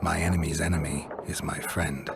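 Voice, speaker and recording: Low-voiced, terse monster-hunting mercenary. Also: male voice, little pitch movement, moderate pace, vocal fry, over loud music. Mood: probing. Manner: terse, restrained, dry. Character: calm, gruff monster-hunting mercenary with returning memories. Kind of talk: video game cutscene acting.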